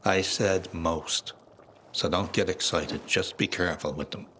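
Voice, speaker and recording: An actor playing a cynical, world-weary middle-aged ex-soldier in a game cutscene. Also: male voice, low-pitched, steady tone, a little slow, fairly bright tone, slight background noise. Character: jaded, gruff middle-aged male ex-soldier. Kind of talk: video game cutscene voice acting.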